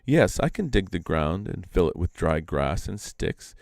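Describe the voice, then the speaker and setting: A man voicing a gentle, obliging young fawn that explains things plainly and calmly. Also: low-pitched, unhurried, vocal fry, dry recording, studio quality, exaggerated voice.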